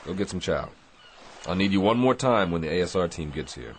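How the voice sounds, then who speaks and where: A man's voice: low voice, animated, fairly quick, a little hiss or hum. A man playing a terse, grave Navy destroyer captain in a radio drama, with a clipped, commanding manner. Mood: brisk.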